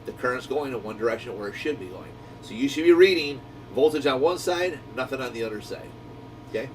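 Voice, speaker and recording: A man's voice: medium pitch, lively intonation, fairly quick, recorded from a distance, a little hiss or hum. A man hosting a radio group in a folksy, easygoing way, explaining things in casual instructional chat. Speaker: radio shop owner hosting a walkie-talkie group channel.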